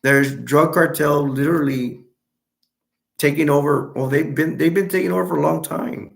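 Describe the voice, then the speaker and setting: A young man from Texas chatting casually on a live stream, answering viewers in a rambling, halting way with many restarts. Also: fairly high pitch, fairly even intonation, vocal fry. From Texas, United States.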